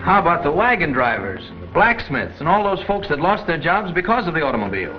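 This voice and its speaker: A muffled 1930s film recording with clear music behind. a younger American working man, plain-spoken and skeptical. His voice is fairly high and very animated. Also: a little fast. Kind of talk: 1930s educational film acting.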